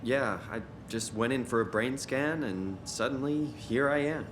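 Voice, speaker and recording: A man's voice: medium pitch, unhurried, close to an everyday voice. An actor playing a bewildered ordinary young man stranded in a sci-fi horror game, talking in a plain, conversational way. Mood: matter-of-fact, bewildered. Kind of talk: video game voice acting. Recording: roomy echo, clear background noise.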